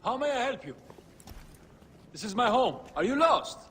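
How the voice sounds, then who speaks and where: An actor playing a smooth, menacing merchant villain in a video game, falsely polite and condescending. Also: male voice, very high-pitched, sing-song, normal speed, fairly bright tone, long reverb tail, a little hiss or hum, exaggerated voice. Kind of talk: video game character acting.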